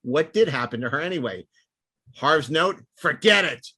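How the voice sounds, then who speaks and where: A man's voice: a little high, animated, normal speed, sharp tone, no room sound. A man hosting a podcast, talking through and reading out notes in a casual panel discussion. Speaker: podcast host.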